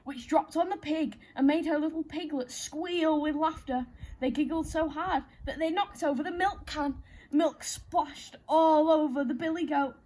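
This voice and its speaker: High-voiced woman reading a children's story. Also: a little slow, darkish tone, slight background noise. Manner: playful, theatrical, addresses young listeners directly.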